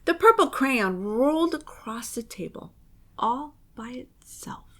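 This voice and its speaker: A woman narrates a spooky picture book for children. Her voice is high and very lively in pitch, and she takes her time. She is theatrical and suspenseful, leaning into hushed drama. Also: slow pace. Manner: expressive, suspenseful, often dropping to a dramatic whisper.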